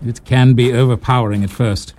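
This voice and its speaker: A man's voice: medium pitch, steady tone, fairly quick, darkish tone, a little hiss or hum, lightly acted. An actor playing a wry English male nurse with a London accent, who now serves as hospital superintendent in a leper colony in an audio drama.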